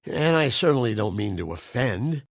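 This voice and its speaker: An actor playing a cowardly, evasive butcher who stammers apologetically in a dramatic video game. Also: male voice, mid-range voice, very animated, normal speed. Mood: nervous, evasive. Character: nervous, cowardly male butcher shop owner, evasive and pleading.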